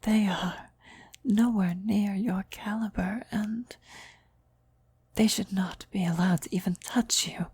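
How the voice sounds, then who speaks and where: A woman's voice: mid-range voice, steady tone, takes it slowly, a little mellow, slightly rough, dry recording. A woman playing a fawning female cultist devoted to her demon queen, deferential and half-whispering, in an ASMR roleplay. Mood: disdainful.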